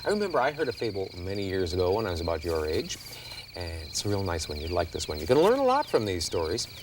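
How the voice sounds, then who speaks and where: A folksy, explanatory grown man, played by an actor in a children's fable video. His voice is lowish and lively, recorded from a distance with clear cricket noise. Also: fast talker. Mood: easygoing. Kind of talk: scripted children's video dialogue. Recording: distant, noisy with chirping crickets.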